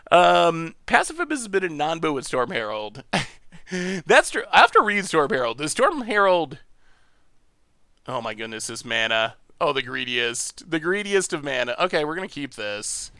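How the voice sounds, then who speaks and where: A man from upstate New York, hosting a live game stream and chatting with viewers in an excitable, laughing way. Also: high-pitched, gravelly, no room sound, clean studio sound. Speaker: streamer host.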